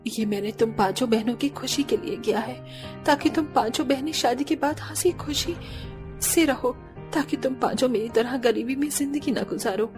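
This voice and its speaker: A woman's voice: high voice, normal speed, music in the background, close to an everyday voice. A woman voicing a poor, devoted village mother in a cartoon, speaking earnestly and sternly. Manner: earnest, emotional.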